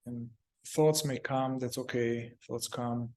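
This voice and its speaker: A man leading a guided meditation, speaking calmly in short, halting phrases with long pauses. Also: mid-range voice.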